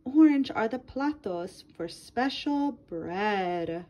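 A woman's voice: mid-range voice, expressive, slow pace, warm tone, a little hiss or hum. A woman reading a picture book aloud to children in a sing-song manner, addressing her young listeners directly.

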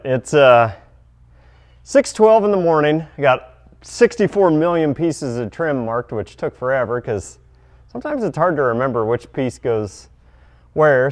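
A man in his forties filming a casual vlog, chatty and upbeat, with plenty of fillers. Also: fairly high pitch. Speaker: vlogger, truck parts seller. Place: garage, driveway and large exhibition hall.

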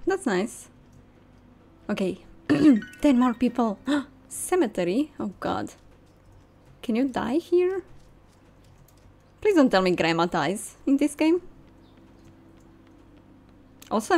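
A woman's voice: on the high side, sing-song, measured pace, long pauses, a little mellow. A young woman musing aloud with delight as she gives casual commentary while playing a game. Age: young adult. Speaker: game streamer. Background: game music.

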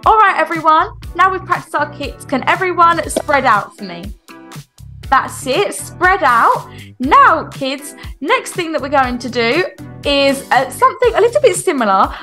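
High, bright-voiced woman playing a swim coach. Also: very animated, measured pace, soft background music, heavily acted. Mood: instructive. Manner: instructive, reassuring, polite. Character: encouraging, rule-minded female children's swimming coach. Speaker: swimming coach in a game roleplay. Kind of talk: improvised online game roleplay.

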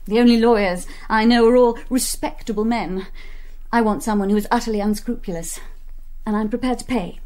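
A woman's voice: on the high side, a little fast, a little mellow, lightly acted. An actress playing a spoiled, brazen young English society woman in a radio play, with a British accent and a cool, clipped, imperious manner. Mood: urgent, scheming.

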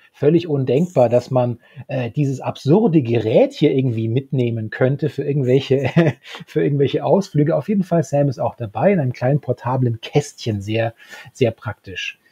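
A man's voice: medium pitch, animated, normal speed, warm tone, husky, dry recording. A man in his thirties casually discussing and retelling a story as a podcast co-host, often breaking off and restarting his sentences. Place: small book-lined home room, indoors, remote video call. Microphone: handheld-style recorder close on desk. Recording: dull, muffled podcast recording.

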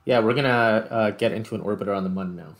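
A man's voice: on the low side, quick pace. A man giving casual gameplay commentary as a video host, thinking aloud as he explains things.

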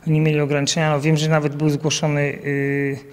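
Hesitant man, slightly high voice. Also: monotone, a little fast, big-room sound. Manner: hesitant, many fillers and restarts. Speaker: national team coach. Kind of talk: interview answers. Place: outdoors by a banner at an event. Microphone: handheld mic held by interviewer.

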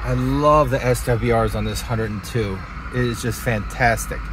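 A man's voice: mid-range voice, steady tone, moderate pace. Enthusiastic man vlogging with background noise. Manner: enthusiastic, plainspoken. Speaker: hobbyist vlogger. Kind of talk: casual hands-on demonstration. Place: inside a parked vehicle and outdoors in a driveway. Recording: clear background noise from car and outdoors.

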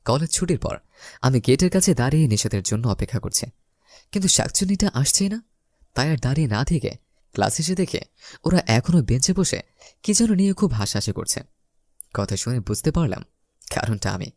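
A man's voice: mid-range voice, sing-song, a little fast, long pauses, darkish tone, no echo. A young man narrating a romantic comedy story in the first person, theatrically acting out the lines. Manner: theatrical, acts out his lines.

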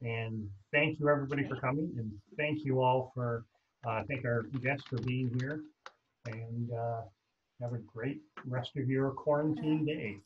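A man's voice: medium pitch, steady tone, unhurried, lo-fi sound, slight background noise. A middle-aged man hosting a casual video-call chat from a room at home, loose and rambling as he corrects himself mid-sentence. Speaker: host.

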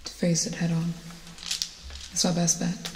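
Casting: young woman as serious female space officer, urgent but hushed ASMR delivery, flat intonation, echoey reverberant sound. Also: lowish voice, unhurried, noisy background, lightly acted. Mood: grim, determined. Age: young adult. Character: tough, urgent female spaceship officer tending a wounded crewmate. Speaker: ASMR roleplayer. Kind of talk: ASMR film roleplay, soft-spoken to whispering. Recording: echoey reverberant hall, music behind.

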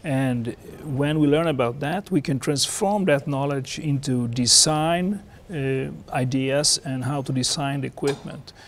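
A man in his fifties with a Swedish accent explains his research in an interview, in measured, halting non-native English. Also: mid-range voice. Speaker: air traffic researcher and former controller. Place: indoor seated interview in a lounge or office. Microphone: lapel mic.

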